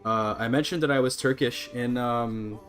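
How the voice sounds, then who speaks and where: A man's voice: mid-range voice, normal speed, fairly bright tone, faint music behind. A Canadian man chatting casually to his livestream audience, rambling and apologetic as he goes. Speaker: live streamer. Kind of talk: casual gaming livestream chat.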